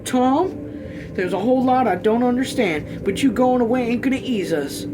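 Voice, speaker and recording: A man's voice: extremely high pitch, expressive, moderate pace, over music, pitched above the performer's own voice. A man reading a novel aloud as an anxious, weary rural mother who pleads earnestly in folksy dialect. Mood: pleading, distressed.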